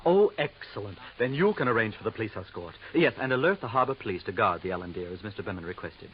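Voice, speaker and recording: Man playing an obsequious low-voiced little clerk. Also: lively intonation, fast, slight background noise, lightly acted. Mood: eager, fussy. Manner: fawning, overly formal and hesitant. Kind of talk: 1950s radio drama acting. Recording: muffled vintage radio recording.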